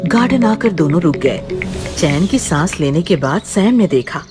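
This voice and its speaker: A woman's voice: lowish voice, lively intonation, measured pace, over music. A woman reading scripted horror story narration in a dramatic, suspenseful manner.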